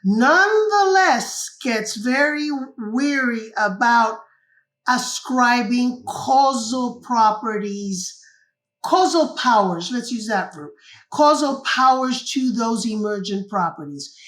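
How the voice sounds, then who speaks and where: A woman's voice: fairly high pitch, animated, slow pace, a bit bright, rough recording. An older woman from Cuba, a guest author explaining ideas in an interview and often checking in with "correct?".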